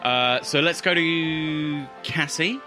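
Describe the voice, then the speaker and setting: A man's voice: a little high, slow pace, music in the background. A man speaking casually as game master, improvising narration and rulings for a tabletop roleplaying game.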